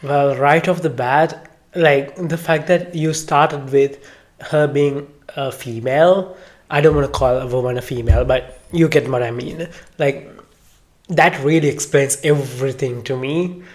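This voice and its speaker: A woman's voice: extremely low pitch, unhurried, a little mellow. A woman talks as a podcast co-host in loose, everyday chat. She is blunt and opinionated. Her style is informal throughout, like talk between friends.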